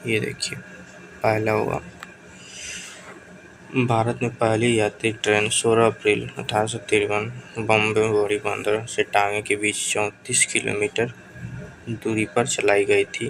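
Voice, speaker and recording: A man teaching an exam-prep lesson with a sore throat, reading out quiz questions and options in a brisk, hurried way and pushing viewers to answer quickly. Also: fairly low pitch, monotone, gravelly, background noise.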